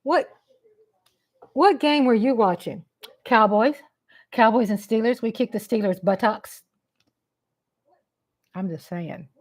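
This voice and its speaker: A woman's voice: on the high side, slow, pauses often. A woman from the American South with a Texas accent, hosting a casual live chat stream from home in a playful, teasing way.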